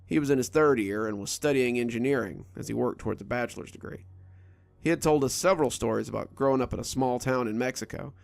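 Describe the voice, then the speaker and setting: A man narrates a horror story in a steady, low-key delivery. His voice has a rough, gravelly edge. The sound is dry and close, with no room echo.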